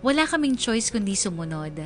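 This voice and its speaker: Radio drama narrator, female actor: troubled young daughter, confiding, medium pitch, music bed. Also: big pitch swings, a little fast, natural voice. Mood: resigned. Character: troubled, confused young woman confiding her family's secrets.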